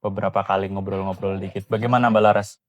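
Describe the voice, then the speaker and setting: Young man with a relaxed Ngapak lilt. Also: sits low, fast talker, no room sound, dull audio. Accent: Banyumasan Javanese (Ngapak). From Cilacap, Central Java. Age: young adult. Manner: relaxed, rambling, mixes slang with Javanese. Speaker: podcast guest, university student. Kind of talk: casual podcast chat.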